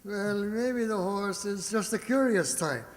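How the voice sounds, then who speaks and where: A woman's voice: mid-range voice, unhurried, close to an everyday voice. Woman playing a dry, needling wisecracker. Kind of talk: old-time radio comedy acting. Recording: echoey hall recording.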